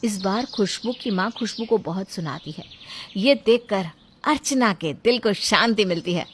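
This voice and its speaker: A woman's voice: mid-range voice, lively intonation, normal speed, slight background noise. A woman narrator reading a scripted bedtime moral story.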